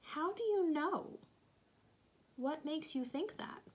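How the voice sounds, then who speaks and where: Lively, unhurried woman reading to kids. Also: high-pitched. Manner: gentle, asks children questions, drops to soft whispers. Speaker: teacher reading aloud. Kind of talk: picture-book read-aloud for children.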